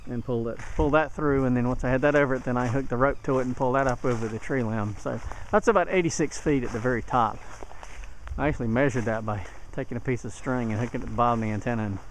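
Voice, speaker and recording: A man's voice: mid-range voice, fast talker, rough recording. A man giving a casual, plain-spoken hands-on tutorial as a hobbyist demonstrator, recorded outdoors on a handheld camera. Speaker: DIY radio hobbyist demonstrator. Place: outdoors in a yard. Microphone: handheld camera mic. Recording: outdoor handheld camera, clear background noise.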